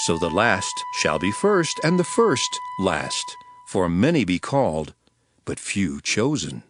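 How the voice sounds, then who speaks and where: A man playing a solemn teacher of parables in a dramatized scripture recording, speaking in a measured, declamatory way. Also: deep voice, big pitch swings, over music, heavily acted.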